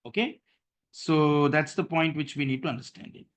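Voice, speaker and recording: A man's voice: on the high side, moderate pace, slight background noise. A man leading an online training session, walking listeners through on-screen steps and often checking in with "okay" and "right".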